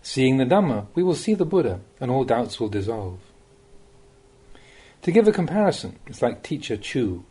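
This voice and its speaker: A man reading a Buddhist Dhamma talk aloud as a monk, in the measured, plain-spoken way of a teacher, with rhetorical emphasis. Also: medium pitch, very animated, warm tone, slightly rough.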